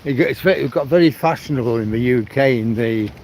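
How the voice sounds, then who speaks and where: A man's voice: mid-range voice, fast talker, darkish tone, a little hiss or hum. A man from Manchester with a mixed northern English accent, chatting casually to viewers on a livestream as he walks a riverside promenade. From Manchester, England. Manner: chatty, answers viewers' comments by name. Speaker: livestream vlogger. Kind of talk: casual walking livestream chat.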